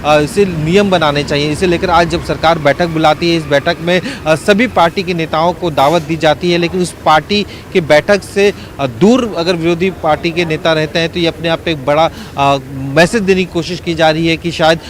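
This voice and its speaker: A man's voice: a little high, fairly even intonation. Male field reporter over city traffic noise. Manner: halting, with frequent filled pauses. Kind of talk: unscripted live news report.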